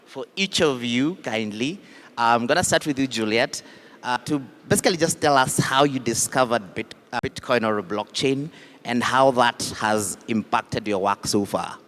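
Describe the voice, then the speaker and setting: A man's voice: mid-range voice, normal speed, a bit bright. A man moderating a conference panel on stage, formal and polite, often saying "kindly". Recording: echoey hall.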